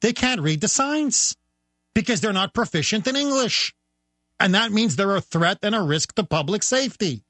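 A man's voice: high voice, lively intonation, normal speed, no echo. Guy hosting talk radio, rhetorical and pushy, speeds up on his points, dry studio sound. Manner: forceful, rhetorical, speeds up when pressing a point. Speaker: guest radio host. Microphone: studio microphone.

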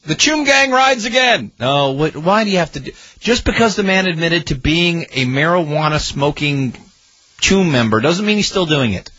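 A man's voice: high-pitched, sharp tone, breathy edge, no room sound, highs cut off. A male talk radio host commenting on politics in a sarcastic, mocking way.

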